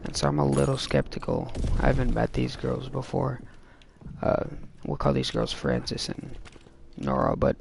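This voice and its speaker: Gravelly young man rambling a story. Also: mid-range voice, steady tone, normal speed, background noise. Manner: rambling, filler-heavy storytelling. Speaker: gaming vlogger. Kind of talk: casual storytime while playing a shooter game. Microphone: gaming mic. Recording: game music loud in the background. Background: game music.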